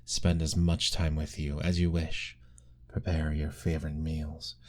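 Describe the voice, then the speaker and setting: A man voicing an ancient, lovestruck male vampire lord in an ASMR roleplay, formal and soft-spoken, pleading gently. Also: a bass voice, flat delivery, unhurried.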